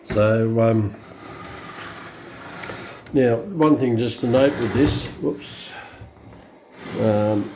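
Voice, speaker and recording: An Australian man giving an unscripted hands-on product demonstration, explaining things in a halting, matter-of-fact way into a camera mic. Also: medium pitch, noisy background. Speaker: radio collector presenting a review.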